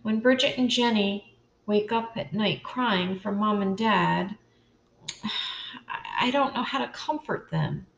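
An earnest young orphan girl, spoken slowly and carefully by an older woman reading aloud. The delivery is gentle and unhurried, with a roomy echo around the voice. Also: mid-range voice, creaky, highs cut off, slight background noise, voice pushed up.

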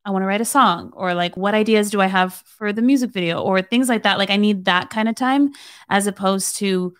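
A woman's voice: mid-range voice, fairly quick. A woman in her late twenties, a singer-songwriter guest, talking casually with lots of fillers. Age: 20s. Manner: chatty, filler-heavy, laughs easily. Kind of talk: casual podcast chat.

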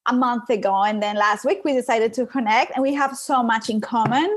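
High-voiced young Colombian woman. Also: steady tone, fairly quick. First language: Spanish. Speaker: podcast guest, digital marketing business owner. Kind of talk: conversational interview, telling her own story.

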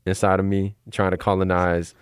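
A man's voice: sits low, flat delivery, clear, resonant tone, no echo, clean studio sound. An American man in reflective, informal conversation, feeling his way through thoughts with lots of repeated phrases. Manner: informal, halting, filler "like" and "you know what I mean". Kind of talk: casual reflective chat.

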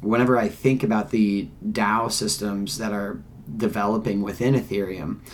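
Guy hosting a chat, lowish voice, thoughtful and a bit hedgy, faint background hum. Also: fairly even intonation, moderate pace. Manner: thoughtful, hedging with "like" and "kind of". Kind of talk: casual interview talk.

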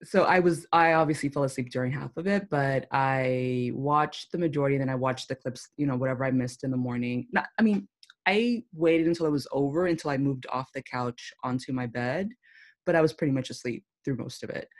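Woman podcast cohost, deep-ish voice, super chatty and playful, says like a lot. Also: brisk. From United States. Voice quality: low, deep voice. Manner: playful, chatty, full of "like" fillers. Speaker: podcast co-host. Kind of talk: casual chat.

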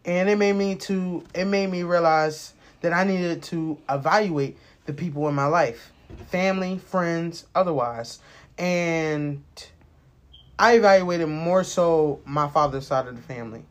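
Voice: mid-range voice, measured pace. An American podcast host in their late twenties talking casually and personally, rambling, candid and emphatic, with plenty of swearing. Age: late 20s.

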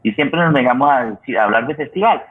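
A Colombian man hosting a conversational interview, speaking reflectively and haltingly as he searches for words. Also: mid-range voice, expressive, husky. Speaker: radio host and theater practitioner. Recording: thin phone-like line.

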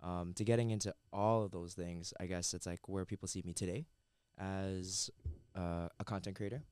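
A man from Canada, a chef and entrepreneur, chatting casually as a podcast guest in a studio, relaxed and full of fillers. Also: low-pitched, normal speed, clear, resonant tone, no echo. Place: recording studio. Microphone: headset mic and headphones.

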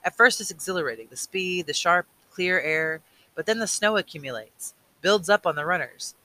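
A man voicing a weary old mentor, slow and earnest. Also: high-pitched, bright, forceful tone, pitched above the performer's own voice, low-quality recording. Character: weary old male mentor, patient and burdened. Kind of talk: audiobook reading.

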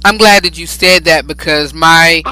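A man's voice: on the high side, moderate pace, bright, forceful tone, rough recording. A man hosting a talk show, interviewing in a rambling way, with many fillers and frequent affirmations. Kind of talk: interview conversation.

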